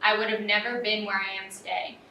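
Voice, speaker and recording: A young woman student talks earnestly in a casual interview, leaning on "um" and "you know" as she thinks aloud. Her voice is bright, and she sits back from the mic in a roomy space. Faint ambience hums behind her. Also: mid-range voice, little pitch movement, fairly quick, distant mic, slight background noise. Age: young adult. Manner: earnest, filler-heavy with frequent "um" and "you know".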